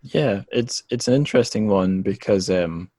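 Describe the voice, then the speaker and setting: A man hosting a casual interview, speaking in a halting, thoughtful way as he works through his questions. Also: lowish voice, warm tone, no echo. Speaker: podcast host and interviewer.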